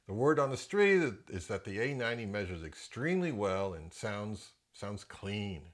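A middle-aged man with a fairly low voice talks through audio gear in a casual review. He is conversational and freely gives his opinions, as if chatting to viewers. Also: fairly bright tone. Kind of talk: casual product review narration.

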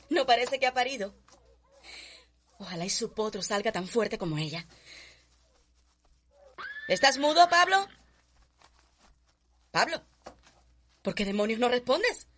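An actress playing a haughty, headstrong young planter's daughter in a radio drama, with a Cuban accent and an imperious, cutting manner. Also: female voice, fairly high pitch, big pitch swings, a little slow, long pauses, a little hiss or hum. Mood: imperious, irritated.

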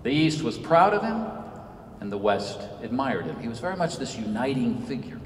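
A man in his fifties from Senegal, giving a measured, earnest public lecture from a podium in a church. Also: medium pitch, sing-song. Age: 50s. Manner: measured, earnest, rises and falls for emphasis. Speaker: guest speaker, author. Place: large church sanctuary. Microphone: podium mic, recorded from far off. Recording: echoey church, distant mic, faint hiss.

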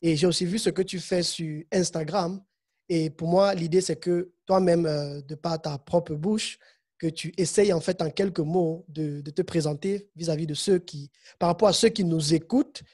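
Husky man hosting a podcast. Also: fairly high pitch, fairly even intonation, normal speed, darkish tone. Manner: rambling, restarts, frequent backchannel. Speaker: podcast host. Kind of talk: casual interview. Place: home studio room. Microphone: studio mic with headphones.

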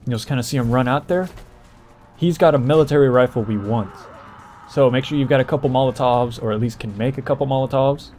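A man's voice: medium pitch, brisk, a little mellow, faint music behind. A man doing casual, explanatory gameplay walkthrough commentary as the host, talking informally to viewers.

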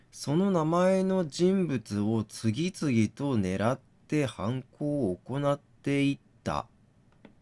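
A man doing read-aloud game commentary, musing and deliberating as he thinks out loud. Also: medium pitch. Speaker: gaming channel host.

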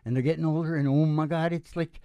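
A man's voice: a little high, fairly even intonation, fast talker, mellow, smooth, no room sound. A man in his sixties talking casually and jokingly on stage between songs, in a rambling conversational way, into a vocal microphone. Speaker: musician and show host. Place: small live music venue, on stage. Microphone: stage vocal microphone on a stand. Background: acoustic guitar.

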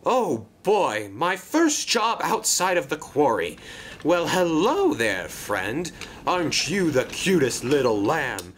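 A man voicing a chatty, naive, excitable female engine in a children's train story, gushing and easily flustered. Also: high voice, a little slow, slight background noise, voice pushed up, exaggerated voice. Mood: gushing, excited.

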